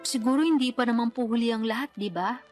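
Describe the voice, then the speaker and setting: A woman's voice: fairly high pitch, quick pace, faint music behind, natural voice. A young woman playing a daughter and young mother in a radio drama, polite, earnest and pleading. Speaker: daughter character.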